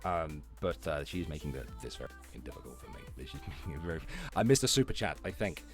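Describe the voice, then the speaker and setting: A man's voice: extremely low pitch, animated, fast talker, vocal fry, soft background music. A man hosting a casual livestream, giving profane, irreverent running commentary and rants. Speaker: livestream host.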